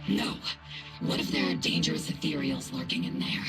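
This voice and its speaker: An actor playing a gruff but fond, protective squad captain in a video game. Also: medium pitch, wildly swooping pitch, normal speed, over music. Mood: stern, fond.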